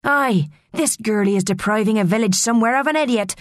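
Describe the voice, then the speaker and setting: A woman's voice: on the high side, a little fast, dry recording, studio quality, pitched above the performer's own voice, lightly acted. A woman voicing a blunt, brash mermaid in a storybook, full of salty sailor slang. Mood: jeering.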